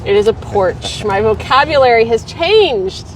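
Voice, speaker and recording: A woman's voice: on the high side, animated, a little slow, recorded from a distance, slight background noise, poor audio. A woman from Texas hosting a weekly livestream, chatting casually and rambling between viewer comments. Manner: chatty and rambling, laughs easily.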